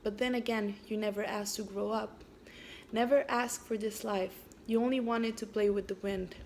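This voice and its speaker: A woman raised in Canada whose first language is French, introducing and then reading aloud her own story in a hesitant way, with frequent pauses and fillers. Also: mid-range voice, fairly even intonation, darkish tone, a little hiss or hum.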